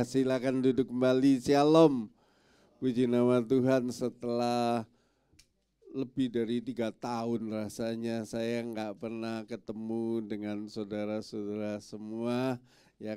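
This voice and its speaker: Male pastor, congested and nasal, slow unhurried sermon delivery, smooth clear tone, dry close sound. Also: mid-range voice, mellow, no echo. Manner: slow, friendly, many filler yeses. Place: church.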